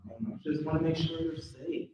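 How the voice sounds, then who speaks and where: A fairly high-voiced actor as a dramatic husband, paranoid and suspicious, reading a short film script live. He is heard from a distance in a very echoey room. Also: fairly quick, warm tone, close to an everyday voice. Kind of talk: live script table read, acted. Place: live indoor table read at a mixer event. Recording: echoey room, picked up from far off.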